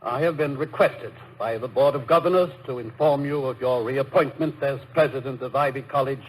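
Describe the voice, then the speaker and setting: A man's voice: mid-range voice, a little fast, husky, close to an everyday voice. An actor playing a prim, plodding, officious college committee man in a radio comedy, speaking with stiff formality. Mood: prim, official. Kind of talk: 1950s radio comedy acting. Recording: slightly noisy old radio broadcast.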